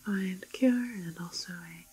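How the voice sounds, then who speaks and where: Woman playing a slow, whispering calm doctor. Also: on the low side, husky. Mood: soothing. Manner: hesitant, reassuring, slow and halting. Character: calm, clinical female doctor examining a quarantined patient. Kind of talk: soft-spoken ASMR roleplay, often whispered.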